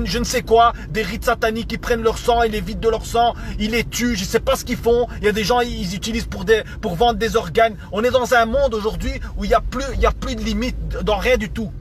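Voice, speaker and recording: Belgian man, 30s, vlogger; higher voice, repeats himself, stumbles through an earnest rant. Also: fairly bright tone. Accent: Belgian French. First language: Italian heritage not stated as first language. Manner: earnest, stammers and repeats words, leans on fillers. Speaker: vlogger addressing viewers. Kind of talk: casual opinion rant to camera.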